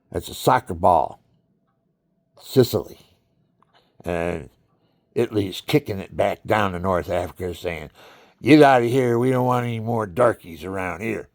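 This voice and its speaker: An older man telling personal stories in a rambling monologue, talking straight to his viewers and acting out what others said. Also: low-pitched. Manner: chatty, talks to the viewers, acts out quoted voices. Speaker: storyteller.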